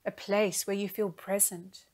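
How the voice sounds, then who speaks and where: A woman leading a guided meditation in a gentle, soothing, unhurried manner, speaking in soft phrases. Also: medium pitch, flat delivery.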